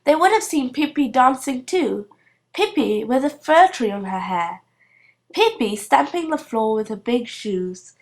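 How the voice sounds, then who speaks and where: Female storybook narrator, high-pitched, highly animated intonation, reading for children. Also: unhurried. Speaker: storybook reader.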